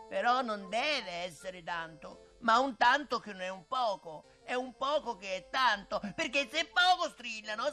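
A naive little boy from a radio storybook, put on by a man, pitched well above a grown man's voice and bright in tone. He talks slowly, repeating his pleas in breathless bursts. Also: full character voice. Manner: pleading, breathless repetitions. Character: naive, simple-minded young boy.

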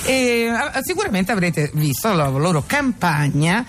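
A woman's voice: medium pitch, big pitch swings, unhurried, soft background music. A woman hosting a radio interview in a casual, chatty style, playful and teasing with her guests. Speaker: radio host. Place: radio studio.

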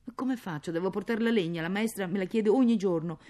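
A woman's voice: fairly low pitch, normal speed, creaky, warm tone, husky. A woman voicing a sensitive, devoted ten-year-old girl who pleads plaintively, in a dramatic story reading. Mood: worried pleading.

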